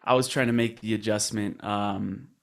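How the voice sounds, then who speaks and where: A man's voice: lowish voice, steady tone, normal speed, creaky. A man in his twenties from Colombia, a podcast guest telling his story in an earnest, reflective way. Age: 20s. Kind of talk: casual podcast interview.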